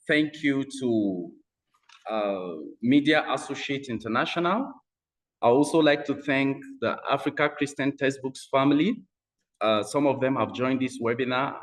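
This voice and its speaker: A man with a Nigerian accent and a medium-pitched voice presents in an online webinar. He is polite and takes his time, pausing often as he speaks into a video call. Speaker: webinar speaker, editor and poet. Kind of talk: informal opening of an online presentation.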